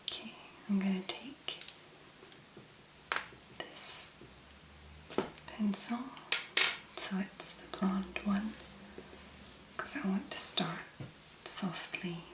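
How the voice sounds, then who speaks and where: A woman speaking very softly and breathily, in a gentle, unhurried ASMR make-up tutorial style. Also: medium pitch, echoey room, rough recording. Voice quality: breathy, whispery. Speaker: ASMR video creator.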